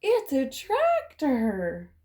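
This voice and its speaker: A woman acts out a curious, timid farmyard chicken from a children's storybook. The voice is highish, slow and very animated. It is exaggerated and quick to startle. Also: full character voice. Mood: startled alarm. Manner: exaggerated, expressive.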